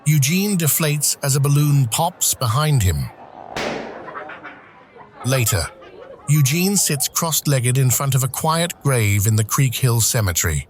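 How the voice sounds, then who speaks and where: A man's voice: mid-range voice, lively intonation, unhurried, soft background music. A man narrating scripted stage action as the narrator of an audio drama.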